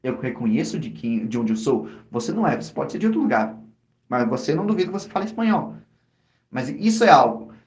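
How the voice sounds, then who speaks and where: Animated Brazilian man in an echoey room. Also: mid-range voice, fast talker. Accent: Brazilian Portuguese. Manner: animated, slangy, many restarts. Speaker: podcast co-host. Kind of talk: casual chat.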